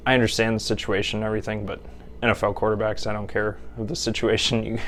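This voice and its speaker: A man's voice: lowish voice, little pitch movement, fast talker, fairly bright tone. A man hosting a casual football talk show from home, opinionated and stumbling over restarts while keeping his voice down. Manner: stammering restarts, opinionated, keeps voice down for sleeping child. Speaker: host. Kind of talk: casual sports chat. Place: home at night.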